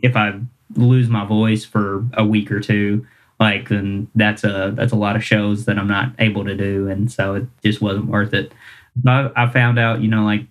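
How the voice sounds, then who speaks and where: Singer-songwriter guy, kinda low voice, rambly and self-deprecating, chuckles a lot. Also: little pitch movement, normal speed, poor audio. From Kentucky, US. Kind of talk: casual interview chat.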